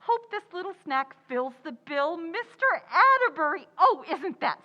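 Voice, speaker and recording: A woman's voice: very high voice, very animated, moderate pace, pushed and bright, lo-fi sound, exaggerated voice. A woman playing a frazzled, sly young housewife in a 1940s-style radio comedy, with theatrical delivery. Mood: delighted, grateful. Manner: theatrical, exaggerated.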